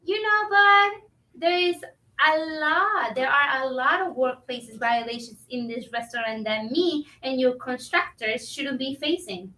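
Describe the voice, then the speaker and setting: In a roomy, distant recording, an actress plays an indignant female restaurant worker in a stage play. Her voice is high and bright, and she argues firmly and confrontationally. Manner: firm, confrontational. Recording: roomy echo, distant microphone.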